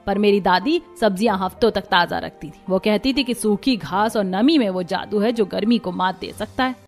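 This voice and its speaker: A woman voicing a wise, gentle old village mother-in-law in a cartoon, encouraging and reminiscent. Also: mid-range voice, moderate pace, faint music behind, lightly acted. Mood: reminiscing.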